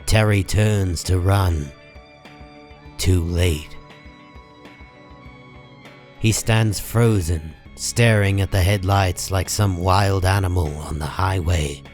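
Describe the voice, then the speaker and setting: A man narrating a comic book story in a grave, measured, dramatic style. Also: low-pitched, darkish tone. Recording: music under the voice.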